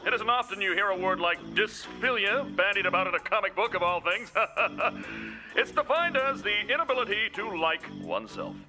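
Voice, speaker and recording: A man voicing a vain, cowardly, pedantic talking dog in an animated cartoon. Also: sits high, moderate pace, soft background music, full character voice, rough recording. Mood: pedantic, defensive. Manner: pompous, pedantic.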